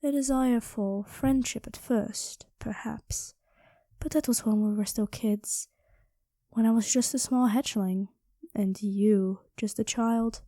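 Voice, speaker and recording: A woman's voice: a little high, dark tone, no echo. A woman improvising an ASMR roleplay as a playful, possessive dragon queen, coy and intimate, often dropping into whispers. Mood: tender, possessive.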